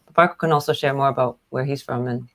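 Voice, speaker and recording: A woman with a Canadian accent speaks as an easygoing guest on a video chat. Her voice is notably low for a woman. She explains things in a relaxed, conversational way. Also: quick pace. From Hong Kong, raised in Canada. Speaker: guest, news platform founder. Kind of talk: casual interview talk.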